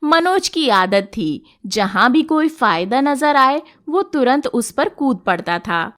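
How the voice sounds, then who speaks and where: Narrator: woman, higher register, unhurried scripted reading of a moral story for an animated tale.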